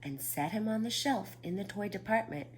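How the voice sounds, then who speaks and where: Lively woman reading a children's story. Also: mid-range voice, moderate pace, a little hiss or hum. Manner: animated, asks listeners questions. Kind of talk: read-aloud storytime.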